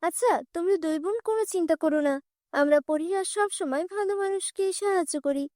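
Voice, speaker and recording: Woman as a cartoon fairy, female, stern yet kindly, commanding, very high clear-cut delivery. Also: fairly quick, a little mellow, dry recording, clean studio sound.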